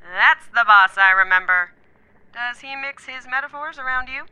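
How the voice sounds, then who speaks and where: A woman's voice: fairly high pitch, moderate pace, sharp tone, clear, resonant tone, poor audio. An actress playing a brash, sarcastic, irreverent female rebel officer in an audio drama. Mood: dry mockery.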